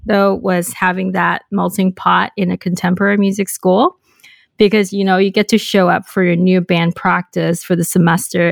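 A woman's voice: mid-range voice, vocal fry, no room sound. A young adult woman from Hong Kong telling her story as a podcast guest, reflective and chatty, with many ums and you-knows. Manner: reflective, frequent "um" and "you know", light laughs. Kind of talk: casual interview storytelling.

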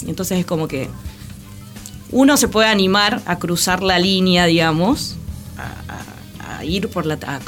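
A woman's voice: on the low side, a bit bright. An Argentine woman co-hosting a radio show, chatting casually and a little hesitantly as she thinks aloud. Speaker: radio co-host. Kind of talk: casual panel discussion. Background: background music.

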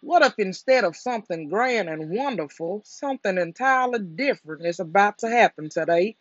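A storyteller voicing a gentle, simple-minded male storybook bear, musing and earnest with a slight fret. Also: medium pitch, expressive, normal speed, no room sound, muffled recording, close to an everyday voice. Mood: earnest, fretful.

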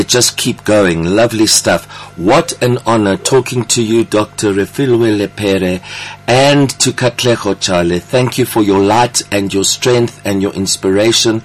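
Low-pitched man hosting. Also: poor audio. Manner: effusive, encouraging. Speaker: radio or podcast interview host. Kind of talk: conversational interview hosting.

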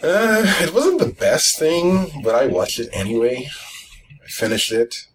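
A man's voice: mid-range voice, big pitch swings, a little slow, slight background noise, rough recording. A man chatting casually as a podcast host, musing hesitantly as he goes. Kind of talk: casual chat.

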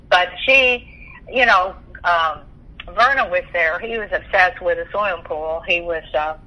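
A woman's voice: on the low side, slow, bright, forceful tone, poor audio. An older woman, reminiscing in a talkative, rambling, conversational way over the telephone. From Texas, United States. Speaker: interview guest sharing memories.

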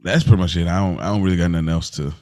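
A man's voice: low voice, steady tone, very fast, darkish tone, dry recording, clean studio sound. A man co-hosting a casual TV recap podcast with slangy, informal reactions. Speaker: podcast co-host.

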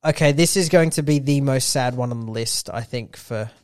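A man co-hosting a casual car podcast, talking in a relaxed, opinionated way with frequent fillers. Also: mid-range voice, moderate pace, no echo, clean studio sound. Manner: relaxed, opinionated, says um and yeah often. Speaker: podcast co-host. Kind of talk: casual chat.

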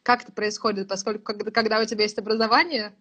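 A woman's voice: mid-range voice, fairly even intonation, racing, a bit bright, husky. A woman in her thirties, a writing course teacher, explaining at length in casual, thoughtful conversation and laughing now and then. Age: 30s.

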